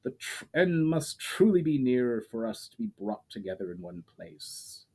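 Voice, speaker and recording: A man's voice: mid-range voice, big pitch swings, unhurried, a little mellow, husky. A man voicing an ancient male elemental spirit, speaking solemnly in a storybook reading.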